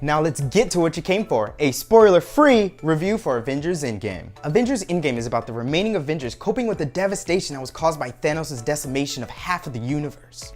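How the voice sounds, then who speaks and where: A man in his thirties giving an enthusiastic, emphatic movie review to camera as a video reviewer. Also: high voice. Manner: animated, emphatic. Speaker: film reviewer. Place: furnished room at home. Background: background music.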